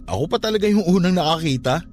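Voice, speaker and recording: Animated, defensive young nephew. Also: male voice, sits high, fast. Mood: curious disbelief. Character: defensive, curious young nephew caught in mischief. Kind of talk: radio drama acting.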